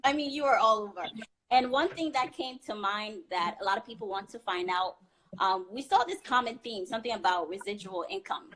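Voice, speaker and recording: A woman's voice: medium pitch, brisk, crowd noise, low-quality recording. A woman hosting an online interview, friendly and laughing often as she asks questions. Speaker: host, interviewer.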